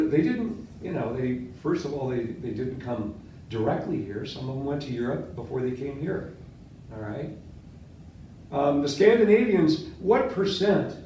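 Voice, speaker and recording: An older man, a history professor born in Latvia with Latvian as his first language, giving a classroom lecture and quizzing his students, talking with lively gestures. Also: fairly high pitch, a little hiss or hum. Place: seminar room with whiteboard. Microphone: far camera. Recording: echoey classroom from far off.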